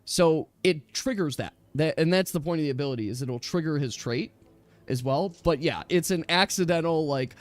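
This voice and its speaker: A man's voice: on the high side, normal speed. A man chatting casually as a game master, with an enthusiastic, rambling way of explaining things to his players.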